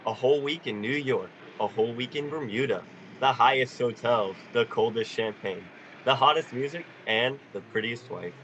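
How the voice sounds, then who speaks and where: Radio play: headstrong, earnest young newlywed husband played by a man, medium-pitched voice. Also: normal speed, fairly bright tone, dull audio, slight background noise, natural voice. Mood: happy, boastful. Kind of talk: 1940s radio play acting. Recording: home recording for online radio play.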